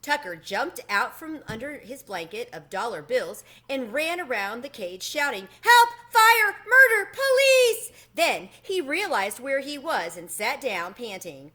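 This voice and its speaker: Woman storybook reader, expressive narration, fairly high voice, very lively pitch. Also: measured pace, few pauses. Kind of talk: expressive children's read-aloud narration.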